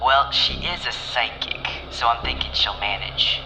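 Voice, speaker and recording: An actor playing a cocky, sardonic private detective with a dry manner in an audio drama. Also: male voice, mid-range voice, a little slow, noisy background, lightly acted. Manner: dry, wisecracking. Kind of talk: naturalistic audio drama dialogue.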